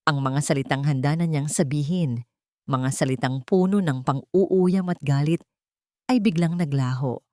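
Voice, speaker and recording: Woman, lower-pitched voice, dry sound, scripted romantic drama narration. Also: a little slow, warm tone, no room sound. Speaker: storyteller.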